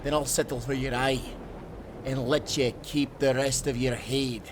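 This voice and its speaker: A man's voice: medium pitch, little pitch movement, unhurried, noisy background, close to an everyday voice. A man playing a brave, resolute sailor hero in an audio drama, with an earnest, dramatic delivery. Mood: grim, determined. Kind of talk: cinematic audio roleplay acting.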